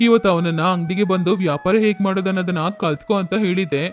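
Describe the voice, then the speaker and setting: A stern, worried merchant father from a cartoon tale, voiced by a man. He lectures in a firm tone, and his voice sits high for a man. Faint music plays behind the scene. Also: fast talker, lightly acted.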